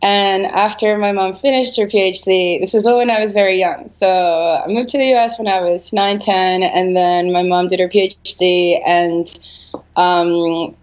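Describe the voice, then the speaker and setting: A woman's voice: medium pitch, steady tone, normal speed. A woman from Venezuela, an artist, giving casual, reflective answers in an interview and feeling her way through her thoughts. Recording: slightly dull, lo-fi sound.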